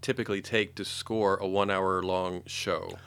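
A man's voice: deep voice, little pitch movement, normal speed, a bit bright, smooth. A man hosting a casual interview, asking informal questions and giving short, supportive replies. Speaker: interview host.

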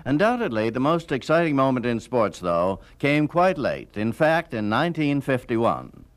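A man's voice: mid-range voice, big pitch swings, moderate pace. A man hosting and narrating a scripted radio documentary about the past.

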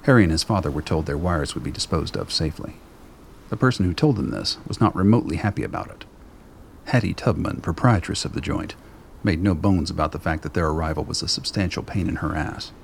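Male narrator for a radio play: very low, creaky voice, measured dry delivery, literary storytelling. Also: animated, a little hiss or hum. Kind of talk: scripted radio drama narration.

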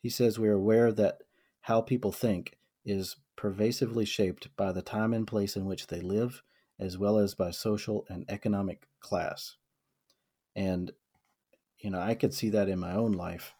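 A man from the American South with a low, mellow voice talks through a book in a casual podcast chat. He reads passages aloud, then reflects on them slowly, with plenty of "um"s and restarts. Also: fairly even intonation. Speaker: podcast co-host.

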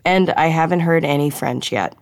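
Woman playing a wry, low-voiced female spy. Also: no echo, studio quality. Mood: eager, conspiratorial. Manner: brisk, wry, holding back amusement. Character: wry, eager young female spy on assignment.

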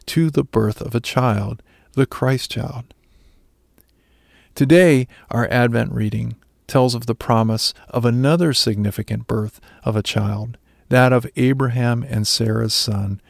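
A man delivering a scripted sermon reflection in a measured, earnest way. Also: medium pitch, gravelly, a little mellow, no room sound, studio quality. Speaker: devotional speaker.

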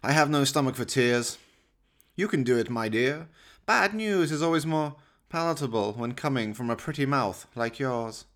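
A man's voice: medium pitch, lively intonation, normal speed, a bit bright, voice pushed up. A man playing an evil scheming mad scientist in a horror radio play, theatrical, pompous and sneering. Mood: smooth condescension. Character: evil scheming mad scientist doctor, mocking and prone to rage.

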